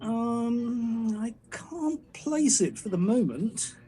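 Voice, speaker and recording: An English woman playing a genial, unflappable Victorian gentleman impresario in a radio play. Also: fairly high pitch, animated, a little hiss or hum. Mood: affable. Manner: affable, unhurried.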